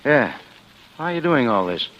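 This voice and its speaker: An actor playing a wry, skeptical homicide lieutenant in a 1940s radio drama, with a sardonic, needling, colloquial delivery. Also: male voice, mid-range voice, very animated, normal speed, slight background noise, close to an everyday voice. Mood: blunt, probing. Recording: dull, lo-fi vintage radio recording.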